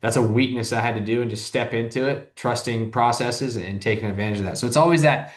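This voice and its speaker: A man in his thirties, a business owner speaking as a guest, talks quickly and loosely. He rambles and circles back, with lots of "like" and restarted sentences. The room gives his voice a noticeable echo. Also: mid-range voice. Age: 30s. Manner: rambling, heavy use of "like", frequent restarts. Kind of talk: casual interview talk.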